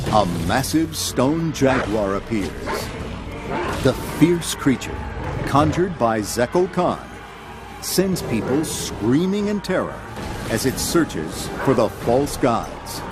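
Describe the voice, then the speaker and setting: Guy reading a children's adventure story, takes his time, lively intonation, music behind. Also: mid-range voice. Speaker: narrator. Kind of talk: scripted children's read-along story narration.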